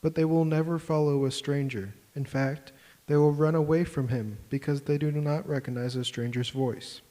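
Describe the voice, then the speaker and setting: A man reading scripture aloud in church, measured and steady. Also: a little high, poor audio. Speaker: scripture reader. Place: church sanctuary.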